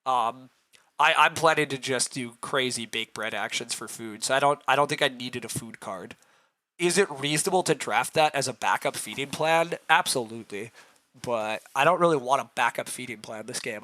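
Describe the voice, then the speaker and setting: A man with a rough, hoarse voice, streaming a board game, thinking aloud through his choices and answering chat as he clears his throat. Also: mid-range voice, bright, forceful tone.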